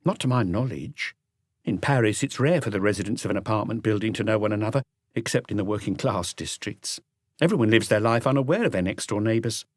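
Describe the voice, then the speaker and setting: A man's voice: on the low side, a little fast, dry recording, studio quality, close to an everyday voice. A man voicing a young, affable female concierge in an audiobook, polite, chatty and matter-of-fact. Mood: earnest. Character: young, affable woman concierge, helpful and soft-spoken. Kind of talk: audiobook character dialogue.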